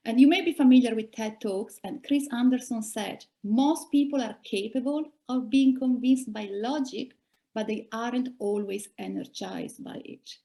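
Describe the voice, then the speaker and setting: A woman in her forties from Italy with an Italian accent, giving measured career advice as a coach in a webinar talk. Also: a little high, mellow. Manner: measured, advisory, addresses listeners directly. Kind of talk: webinar presentation.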